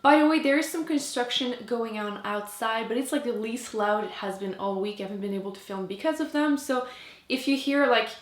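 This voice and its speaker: A woman's voice: a little high, fairly quick, roomy sound. A French Canadian woman, a native French speaker, chatting casually and emphatically to camera as a book reviewer.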